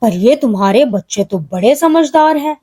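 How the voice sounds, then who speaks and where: Woman as a kindly bear, husky and reassuring. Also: a little high, expressive, moderate pace, mellow. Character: kindly, reasoning male bear elder brother.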